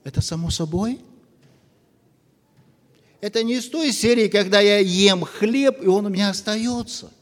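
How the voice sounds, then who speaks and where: A man preaching a sermon to his congregation, earnest and full of pauses. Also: high-pitched. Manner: slow, earnest, with long pauses. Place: church hall. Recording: long reverb of a church hall.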